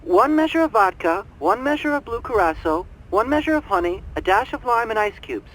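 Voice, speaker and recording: Very high-pitched, chatty barman. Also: big pitch swings, brisk, a bit bright, rough recording. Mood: showy, obliging. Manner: chatty, folksy, theatrical. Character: chatty, obliging high-voiced hotel barman. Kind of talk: video game voice acting.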